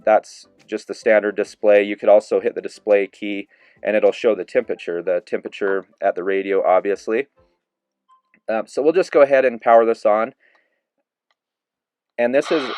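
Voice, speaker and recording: Low, smooth-voiced man reviewing a radio. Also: dry recording. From Idaho. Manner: relaxed, easygoing with fillers, demonstrating a device. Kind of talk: casual product review.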